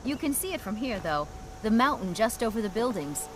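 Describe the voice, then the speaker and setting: A woman's voice: a little high, big pitch swings, fairly quick, faint music behind, low-quality recording. A woman playing a spirited, teasing young woman in a video game, pert and conversational. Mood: chatty. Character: spirited young shopkeeper's sister, headstrong and teasing. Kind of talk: video game character acting.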